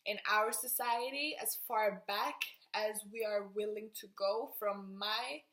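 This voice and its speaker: A young woman from Norway talks through her self-study at a mid pitch, casual and thinking aloud. She often breaks off and restarts her sentences as she writes notes. Her voice rings in an echoey room. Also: takes it slowly, a bit bright. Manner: thinking aloud, restarting and trailing off while writing on a board. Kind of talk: casual explaining to camera. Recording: roomy echo.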